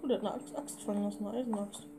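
A woman's voice: medium pitch, steady tone, very fast, noisy background. A woman in her twenties chatting casually as she co-hosts a let's play. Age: 20s. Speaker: let's player, co-host. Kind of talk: casual gameplay chat. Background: music.

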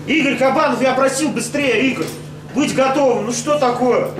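Brisk, bossy male event host. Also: very high voice. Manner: brisk, bossy, hurrying people along. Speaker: host of the poetry evening. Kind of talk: compering a live event. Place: stage of a rock cafe. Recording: echoey club room, far mic, audible noise.

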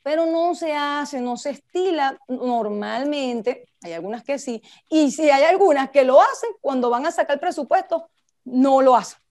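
Venezuelan lady teaching project stuff, high voice, chatty, keeps asking the listeners questions. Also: moderate pace, a little mellow. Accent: Venezuelan Spanish. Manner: conversational, rhetorical questions to listeners. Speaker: project management instructor and speaker. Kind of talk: informal slide lecture.

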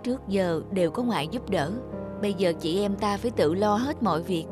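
A woman's voice: medium pitch, animated, brisk, music in the background, lightly acted. A woman voicing a serious, responsible young elder sister in a cartoon, earnest and sober. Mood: worried. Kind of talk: cartoon voice acting.

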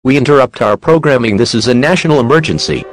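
A man's voice: medium pitch, lively intonation, fast. A man giving a scripted mock emergency report as a weather radio announcer. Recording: very loud crowd and street noise.

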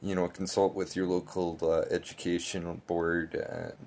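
A man's voice: low voice, flat delivery, measured pace, a little mellow, a little hiss or hum. A man in his thirties giving casual, critical political commentary to camera from a small bedroom, talking loosely with lots of fillers. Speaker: political vlogger.

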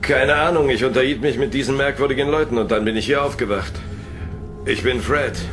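A man's voice: mid-range voice, fairly quick, over music, close to an everyday voice. An actor playing a bewildered, pious male prisoner in a sci-fi audio drama. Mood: confused.

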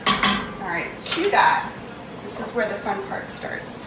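A woman's voice: mid-range voice, very animated, takes it slowly, heavy background noise. Chatty New England woman cooking at home. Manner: chatty and self-mocking, talks while stirring and pouring. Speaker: home cook hosting a cooking video. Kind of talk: casual cooking demonstration. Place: home kitchen, indoors. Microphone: far camera on a tripod. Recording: roomy kitchen audio from a distant camera. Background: food sizzling in a pan.

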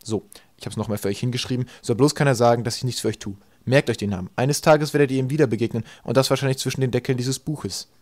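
A man reads a comic children's audiobook at a brisk clip. His mid-pitched voice delivers the absurdities deadpan, as dry scripted narration. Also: no echo.

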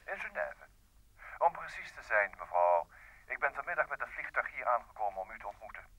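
A man's voice: mid-range voice, very animated, fairly quick, pushed and bright, slightly rough, no room sound, muffled recording, full character voice. Sly young male secretary, suave, swooping intonation, old radio play. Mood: insinuating. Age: young adult. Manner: suave, talkative, theatrically polite. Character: smooth, sly thirty-year-old New York private secretary, a blackmailer. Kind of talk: vintage Dutch radio drama acting.

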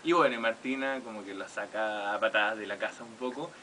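A man in his thirties with a Chilean accent, a film director talking about his film in a relaxed, thoughtful way in a radio interview. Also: lowish voice, fast talker, a little hiss or hum. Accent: Chilean Spanish. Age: 30s. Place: small radio studio. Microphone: studio mic on boom arm.

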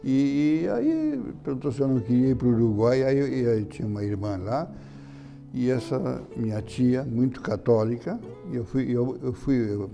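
An older man answering interview questions in a halting way, often repeating his words and chuckling. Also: mid-range voice, vocal fry. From Brazil. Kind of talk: reminiscing interview talk. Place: indoor room beside an altar.

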